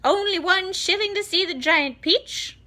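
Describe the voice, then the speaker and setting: A woman's voice: extremely high pitch, unhurried, bright, forceful tone, voice pushed up, full character voice. A woman voicing a bossy, sly, money-grubbing aunt from a children's storybook, with a barking, commanding manner. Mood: hawking loudly.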